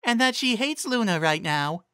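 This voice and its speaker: A man voicing an earnest young female pegasus pony, with slow, drawn-out, simple phrasing. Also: very high voice, big pitch swings, bright, forceful tone, clean tone, no room sound, studio quality, voice pushed up, exaggerated voice. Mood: earnest, tired.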